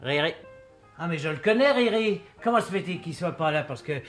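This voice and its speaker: Chummy, higher-voiced sly sleuth. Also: male voice, lively intonation, brisk, a bit bright, soft background music. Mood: probing. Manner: chummy, bantering, insistent. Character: jovial, sly male amateur sleuth, smooth-talking. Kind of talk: French radio play acting.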